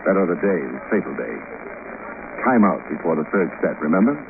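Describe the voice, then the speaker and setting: An actor with an American accent playing a wry, hardboiled private detective in a sardonic, clipped old radio-drama style. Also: male voice, low voice, very animated, a little fast, gravelly, heavily acted. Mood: probing. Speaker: lead actor, detective narrator. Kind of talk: 1940s-50s American radio detective acting. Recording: noisy, narrow-band old radio recording.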